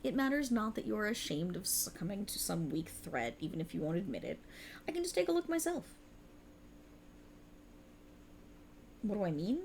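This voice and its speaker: A woman's voice: medium pitch, sing-song, fast, darkish tone, a little hiss or hum. A woman voicing a sultry, cruel and mocking otherworldly warlock patron in a theatrical ASMR roleplay, teasing and condescending. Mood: mocking, amused.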